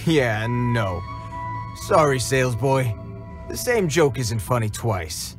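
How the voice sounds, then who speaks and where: An actor playing a gruff, cocky bandit leader in a video game cutscene, tough-talking and sneering with slangy speech. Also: male voice, mid-range voice, sing-song, slow, music in the background. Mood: taunting.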